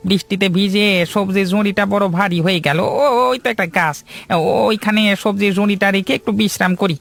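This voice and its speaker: Man as a high-pitched grumbling village trader. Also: steady tone, fairly quick, a bit bright. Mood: weary, grumbling. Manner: grumbling, muttering to himself. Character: weary, grumbling village vegetable seller. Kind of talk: cartoon voice acting.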